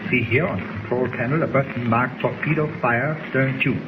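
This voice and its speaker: An actor playing a cold, gloating German villain in 1940s radio drama style. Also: male voice, medium pitch, normal speed, lightly acted. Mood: excited, gloating. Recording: noisy muffled 1940s radio recording.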